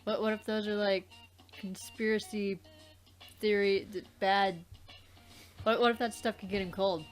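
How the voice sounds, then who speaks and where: A woman co-hosting a let's play, reacting casually and haltingly as she searches for words. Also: mid-range voice, steady tone, soft background music. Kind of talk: casual chat, reacting to a game.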